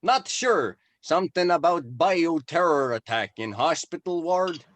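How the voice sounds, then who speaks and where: A man's voice: fairly high pitch, expressive, measured pace, background noise. A man in an informal table read playing a mild-mannered, matter-of-fact park ranger and guide. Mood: polite.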